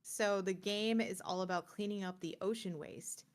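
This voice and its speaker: A woman's voice: medium pitch, fairly even intonation, moderate pace. A woman with a mid-range voice co-hosts a gaming podcast. She talks through game picks in a chatty, informal style. Her sentences ramble as she goes, like casual conversation.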